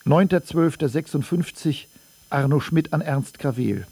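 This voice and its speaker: A man reading dated letters and notes aloud in a radio production, measured and matter-of-fact. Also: a little high, dark tone, breathy edge, no room sound. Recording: dry studio.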